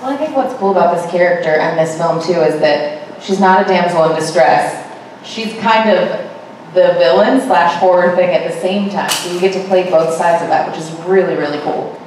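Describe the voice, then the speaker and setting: A woman's voice: fairly low pitch, normal speed, darkish tone, a little hiss or hum. A woman moderating a screening Q&A, enthusiastic, calling on people in the audience. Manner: enthusiastic, calls on audience members. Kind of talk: hosting a screening Q&A. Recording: echoey room, heard from a distance.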